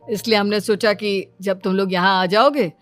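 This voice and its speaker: A woman's voice: medium pitch, fairly even intonation, moderate pace, soft background music, natural voice. A woman voicing an affable, hospitable middle-aged uncle in a family comedy story. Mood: explaining.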